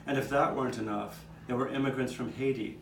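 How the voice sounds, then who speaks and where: A man's voice: mid-range voice, steady tone, fairly quick, natural voice. Distant, echoey old storyteller cat, played by a man. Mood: reflective. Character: old male street cat, reminiscing storyteller with nine lives. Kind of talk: scripted puppet show narration. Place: outdoor courtyard. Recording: distant, echoey live taping with background noise.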